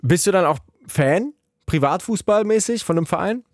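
A man's voice: high-pitched, expressive, normal speed, dry recording. A man hosting a radio show, chatting casually and informally with a caller from a studio. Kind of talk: casual radio phone-in chat. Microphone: close studio microphone.

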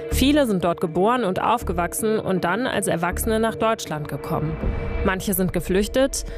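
A woman radio host narrating a scripted news podcast and asking questions in a clear, composed manner. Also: mid-range voice, normal speed. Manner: clear, composed presenter delivery. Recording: music bed behind.

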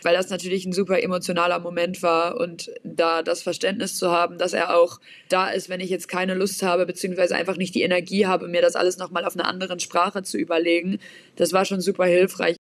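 A woman's voice: on the low side, little pitch movement, brisk, no echo, studio quality. A woman talking as an interview guest in a chatty, personal way. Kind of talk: casual interview talk.

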